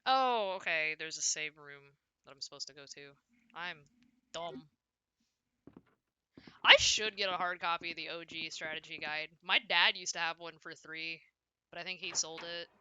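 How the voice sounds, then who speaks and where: A young woman chatting casually and loudly on a game livestream, rambling and often cutting herself off as she plays. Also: fairly low pitch, normal speed, smooth, no echo. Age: young adult. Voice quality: loud voice. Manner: rambling, self-interrupting, frequent "like". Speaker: video game streamer. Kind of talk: casual livestream chat while playing.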